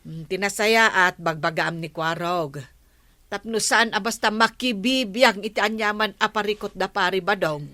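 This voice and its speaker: A woman's voice: mid-range voice, moderate pace, pushed and bright, no room sound, natural voice. Woman acting a gossipy, complaining villager, dry sound. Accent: Ilocano. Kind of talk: comedy radio drama acting.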